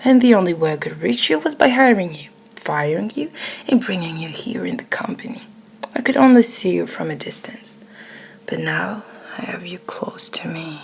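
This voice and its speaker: A woman voicing a dominant, flirty, possessive male CEO in a breathy, often whispered, slow and smug manner for an ASMR romance roleplay. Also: fairly low pitch, expressive, exaggerated voice. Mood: possessive, tender.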